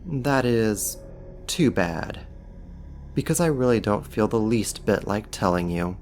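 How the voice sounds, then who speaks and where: Casting: man as powerful demon for audio roleplay, low-pitched, slow delivery, taunting mock courtesy. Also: animated, a little mellow, faint music behind. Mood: condescending, taunting. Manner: sarcastic, teasing, drawn-out mock politeness. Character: powerful male demon, sly, mocking, condescending. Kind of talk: ASMR roleplay, slow scripted monologue to the listener.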